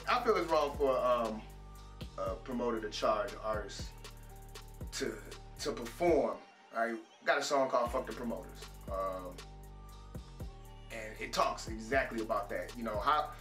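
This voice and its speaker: A man with a Detroit accent speaks in a small studio room, halting and full of fillers but indignant. His voice carries a roomy echo, and faint music plays behind him. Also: mid-range voice, lively intonation, fairly bright tone. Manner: hesitant, many "um" and "you know", indignant. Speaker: rapper interviewee. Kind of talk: candid interview talk.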